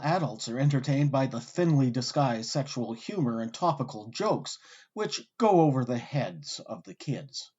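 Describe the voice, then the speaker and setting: A man hosting with scripted documentary narration, delivered in a wry, articulate storyteller's way with deliberate emphasis. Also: mid-range voice, a little mellow, breathy edge, highs cut off. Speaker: host and narrator.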